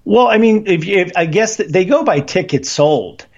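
Animated guest fan, man, fairly high voice, halting delivery, muffled lo-fi line. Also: vocal fry. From Southern California. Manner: animated, halting with many fillers. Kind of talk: casual podcast chat. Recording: dull lo-fi line.

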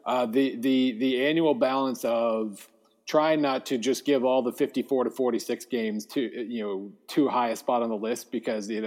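A man co-hosting a sports podcast in a casual, halting, self-deprecating way, his voice slightly hoarse. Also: mid-range voice, a little mellow. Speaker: sports podcast host and writer. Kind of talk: casual football podcast chat.

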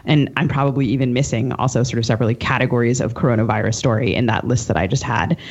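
Male co-host, average pitch, conversational and reflective questioning, slightly muffled recording. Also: fairly even intonation, racing, a little hiss or hum. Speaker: podcast co-host interviewer.

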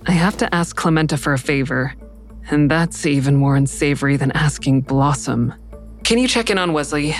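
A woman's voice: low-pitched, normal speed, lightly acted. A woman playing a troubled, defiant suspect who narrates her own story in an audio drama, pointed and anxious. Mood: pleading. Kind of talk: first-person audio drama narration and dialogue. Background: music.

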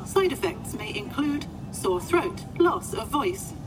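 High, polite female ship computer. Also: sing-song, slow, over music. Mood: procedural, insistent. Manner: formal, procedural, unflappable. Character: polite, literal-minded female medical ward computer assistant. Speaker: actor in a fiction podcast. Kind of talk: audio drama acting. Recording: clear background ambient noise.

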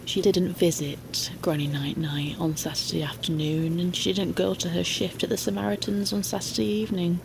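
Low female voice, creaky, deadpan scripted narration, audio drama storyteller. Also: moderate pace, few pauses, background noise.

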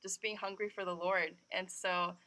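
A woman's voice: fairly low pitch, level tone, normal speed, sharp tone, a little hiss or hum, low-quality recording. A young adult woman from California, giving her personal testimony as a podcast guest in a chatty way, with lots of "like". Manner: chatty, sprinkled with "like". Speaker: guest missionary.

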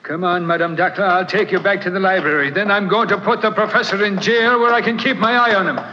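A blustering, skeptical police lieutenant who chuckles through his lines. His high, bright voice rings with a long studio reverb. The sound is dull and hissy, like a 1940s radio broadcast. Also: steady tone, quick pace. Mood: blustery. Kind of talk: 1940s radio drama acting.

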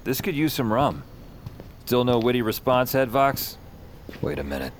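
An actor playing a weary, sardonic hero who narrates his dark supernatural trip in a wry, deadpan way. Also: medium pitch, normal speed, slight background noise, close to an everyday voice. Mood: urgent. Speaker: lead character and narrator. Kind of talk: noir-tinged first-person audio drama narration. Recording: voice under loud music.

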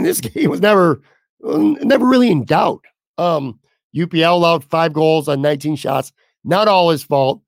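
Hoarse man. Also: on the high side, dry recording, clean studio sound. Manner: candid, blunt, casual with mild swearing. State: losing his voice, hoarse. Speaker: podcast host. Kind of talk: solo sports talk podcast.

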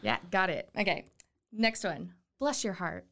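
Mid-pitched woman hosting casually. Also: animated, unhurried, vocal fry, fairly bright tone. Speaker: host. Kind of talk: casual local interview show hosting.

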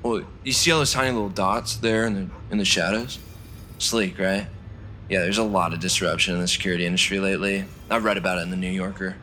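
A man's voice: low-pitched, fairly even intonation, fast talker, pushed and bright, slight background noise. An actor playing a flirty, chatty, oblivious man in an audio drama, with a casual, rambling delivery. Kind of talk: naturalistic audio drama acting.

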